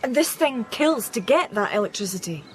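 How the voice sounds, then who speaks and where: A high-pitched, desperate mother in mourning acted by a woman for a radio drama. She is emotional and challenging, sharp-tongued in her grief, with some noise behind her. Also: animated, normal speed, natural voice. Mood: questioning. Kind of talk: audio drama acting.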